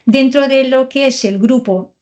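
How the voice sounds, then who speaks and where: A woman's voice: high-pitched, unhurried, a little mellow. A woman in her forties, from Portugal, a surgeon answering interview questions in a hesitant, explanatory way. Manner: hesitant, many fillers and restarts while explaining. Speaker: thoracic surgeon, guest.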